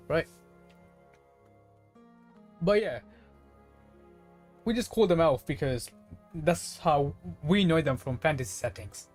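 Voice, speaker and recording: Casual game streamer thinking aloud over music. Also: mid-range voice. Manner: rambling, conversational, thinking aloud. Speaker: live streamer playing a video game. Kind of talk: casual gaming stream commentary, chatting with viewers.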